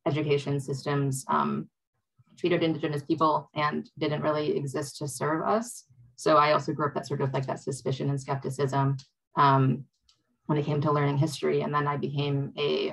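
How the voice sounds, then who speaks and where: A young woman from Wisconsin speaking on a video call panel, reflective and hesitant with many pauses. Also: low-pitched, gravelly, fairly bright tone, poor audio. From Wisconsin, USA. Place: home room.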